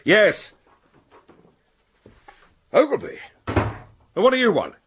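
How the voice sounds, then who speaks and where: A man's voice: high-pitched, huge pitch swings, slow pace, background noise, heavily acted. An actor playing an agitated, irritable man under pressure in a radio drama, exasperated and curt. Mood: angry, agitated.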